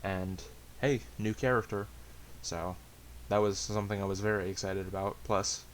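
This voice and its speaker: A man chatting casually to viewers, hesitant and halting, with a self-deprecating, rambling way of talking. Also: lowish voice, fairly even intonation, rough recording. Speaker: amateur story reader and channel host. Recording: hissy amateur home recording.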